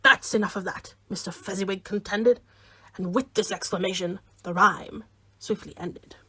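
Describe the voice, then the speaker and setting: A woman narrating a radio play as a storyteller, theatrical and breathy. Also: medium pitch, moderate pace. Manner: theatrical, hushed at times.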